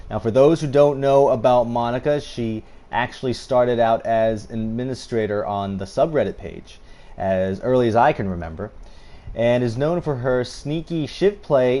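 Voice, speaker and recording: A man's voice: mid-range voice, warm tone. A man hosting a casual interview and teasing his guest with jokes. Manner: joking, teasing. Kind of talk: casual interview over gameplay.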